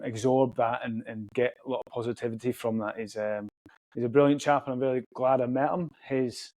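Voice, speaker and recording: Man, podcast host, chatty and jokey, mid-pitched voice, dry close sound. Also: darkish tone, dry recording. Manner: chatty, jokey, with fillers and restarts. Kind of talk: casual interview chat.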